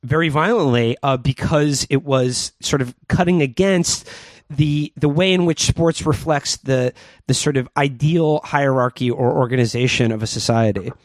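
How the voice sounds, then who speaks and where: A man working through an idea in conversation, circling with fillers and restarts. His voice is mid-pitched, and the recording is dry and close, with no room echo. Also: normal speed. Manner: hesitant, many fillers and likes. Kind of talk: conversational discussion.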